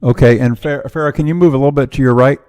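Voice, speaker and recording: A man's voice: medium pitch, steady tone, fast, darkish tone, clear, resonant tone. A man producing the show, giving the guest practical instructions and muttering to himself while he fixes the setup. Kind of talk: technical setup talk.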